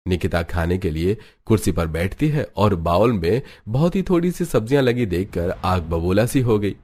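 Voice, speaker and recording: Deep-voiced male story narrator. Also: animated, moderate pace, a little mellow. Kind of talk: scripted cartoon story narration.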